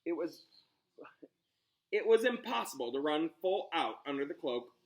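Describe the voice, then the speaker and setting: A man reading a storybook aloud like a teacher, expressive and dramatic, pausing on key words. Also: a little high.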